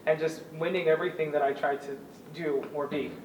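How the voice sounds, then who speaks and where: A woman's voice: low-pitched, a little fast, a little hiss or hum. Woman's voice, distant and roomy. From New York. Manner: animated, laughs easily, earnest. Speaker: guest, former Olympic shot putter. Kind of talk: conversational interview storytelling. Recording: roomy, distant mic, faint ambience.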